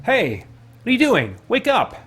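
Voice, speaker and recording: A man's voice: extremely high pitch, huge pitch swings, measured pace, a bit bright, breathy edge, voice pushed up, heavily acted. Man voicing a brash, cocky young game hero. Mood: cocky, teasing. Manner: teasing, brash.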